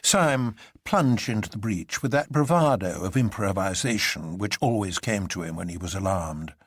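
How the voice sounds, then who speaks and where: A man's voice: fairly low pitch, animated, moderate pace, slightly rough, dry recording, studio quality. A man reading a literary story aloud as a dramatic narrator.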